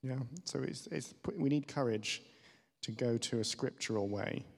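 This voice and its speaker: Male church panellist, average pitch, offering off-the-cuff pastoral guidance in stop-start phrases, with long room reverb. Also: a little slow, gravelly. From West Germany. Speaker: church panel speaker answering audience questions. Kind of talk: spontaneous pastoral advice. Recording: reverberant hall.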